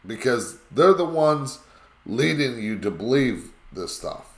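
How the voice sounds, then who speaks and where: A man with a raspy voice hosting a live call-in talk show, talking in a rambling, emphatic way. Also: mid-range voice, animated, slow, roomy sound.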